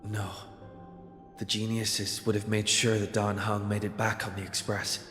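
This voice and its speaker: A man's voice: lowish voice, flat delivery, a bit bright. A man voicing a wary, thoughtful young hero in a video game, musing hesitantly as if to himself. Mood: wary, certain. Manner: slow, hesitant, introspective musing. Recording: echoing hall reverb with music.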